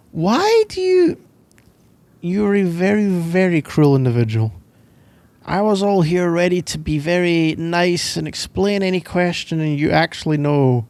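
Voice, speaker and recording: A man's voice: high voice, expressive, takes it slowly, pauses often, a little mellow, no echo. A young Scottish man doing casual boyfriend roleplay ASMR in a playful, teasing, rambling way, close to the microphone. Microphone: close microphone, dry sound.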